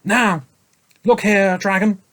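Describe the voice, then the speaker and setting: A man's voice: high voice, pitched above the performer's own voice. A man doing a firm, kindly knight. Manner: firm, reassuring, deliberate.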